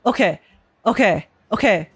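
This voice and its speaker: A young woman giving casual, slangy and expressive game reaction commentary as a streamer. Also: medium pitch, unhurried, husky.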